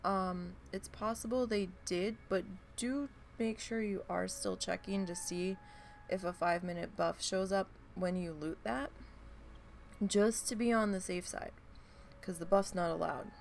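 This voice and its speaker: A woman's voice: mid-range voice, fairly even intonation, unhurried, vocal fry, slight background noise. A woman streaming a game and chatting casually to viewers, her voice stuffy and nasal from allergies, rambling with many ums. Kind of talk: casual live-stream chat.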